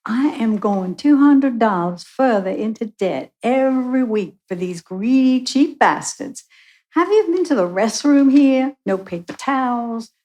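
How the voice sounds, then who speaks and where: A woman's voice: on the high side, a little mellow. A woman playing a griping, suspicious patient in a live radio play. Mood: bitter. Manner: drawn-out, griping. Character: bitter, suspicious, complaining patient.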